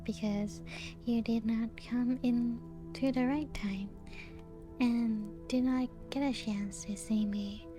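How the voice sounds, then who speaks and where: A woman playing a genteel, serene, playful ancient vampire in a soft-spoken ASMR roleplay, with a breathy, often half-whispered voice and gentle lilt. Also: on the high side, fairly even intonation. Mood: playful, teasing. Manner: slow, unhurried, gently lilting storytelling. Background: music.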